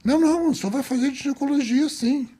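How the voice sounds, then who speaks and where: Older man in his seventies, high voice, lively storyteller, acts out dialogue. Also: brisk. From Azores, Portugal. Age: 70s. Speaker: doctor guest. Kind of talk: storytelling interview.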